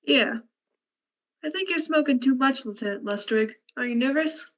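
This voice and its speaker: A thoughtful ship's scientist acted by a woman in a radio play. The voice sits a little high, with an unruffled, musing, measured delivery. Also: fairly bright tone. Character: thoughtful, unruffled scientist doctor aboard a spaceship. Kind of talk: radio drama acting.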